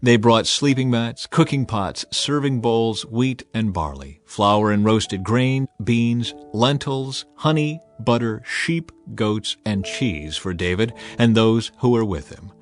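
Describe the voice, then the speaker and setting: A man reading scripture as a dramatized audiobook narration, measured and emphatic in his storytelling. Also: medium pitch. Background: music under the voice.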